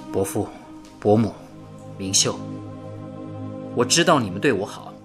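An actor playing a dutiful young engineer in a radio drama, speaking in an earnest, polite, apologetic way. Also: male voice, medium pitch.

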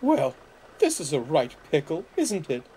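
Man doing a high-pitched cheeky old lady car. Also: huge pitch swings, unhurried, mellow, a little hiss or hum. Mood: kindly. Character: cheeky, kindly old female motor car.

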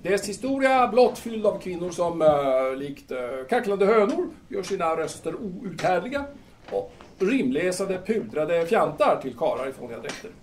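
An actor playing a grandiose, irritable drunken playwright in an audio drama, declaiming haltingly with emphatic repetitions. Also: male voice, high voice, very animated. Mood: scornful ranting. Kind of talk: theatrical audio drama acting in Swedish. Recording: distant mic with faint noise.

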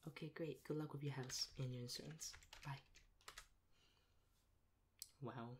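Young guy doing asmr rude dispatcher bit, deadpan n sarcastic, medium pitch, noisy room w typing clicks. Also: a little slow. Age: young adult. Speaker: ASMR role-play creator acting a rude emergency dispatcher. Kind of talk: soft-spoken ASMR role play. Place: small plain room indoors. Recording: clear background noise, keyboard clicks.

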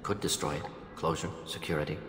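An actor playing a brisk, clinical older alien scientist in a video game, speaking in clipped, terse fragments. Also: male voice, very deep voice, steady tone, fairly bright tone. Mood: troubled, brisk. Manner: clipped, telegraphic, terse phrasing. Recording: echoey hall, music behind.

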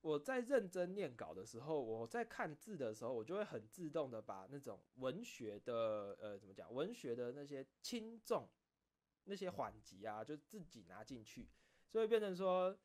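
A man talks casually, like a streamer alone with his audience. His pitch moves up and down a lot, giving the talk an animated feel. He rambles along in a self-deprecating manner at a middling pitch. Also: moderate pace. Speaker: streamer and video creator. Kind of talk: casual solo chat, recounting behind-the-scenes stories.

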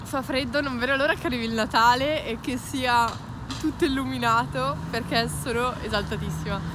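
Young woman vlogging on the street, kinda high voice, excited and chatty, phone at arm's length. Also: moderate pace, noisy background. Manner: chatty, excited, mixes English words. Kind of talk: casual travel vlog. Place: outdoors on city streets. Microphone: phone held at arm's length.

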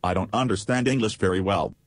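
Evasive interview chatbot, played by a man: lowish male voice, childlike bluntness, dry close sound. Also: fast, no room sound, lightly acted. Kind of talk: reenacted text-chat interview.

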